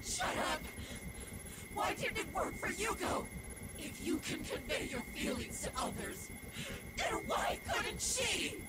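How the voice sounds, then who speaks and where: A woman's voice: sits high, moderate pace, hardly any pauses, heavy reverb, in a large hall, recorded from a distance, over loud music, close to an everyday voice, rough recording. A woman playing a bitter, taunting female shadow being in a dramatic anime-style performance, theatrical and mocking. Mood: furious, despairing. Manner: theatrical, shouting, then cold and mocking.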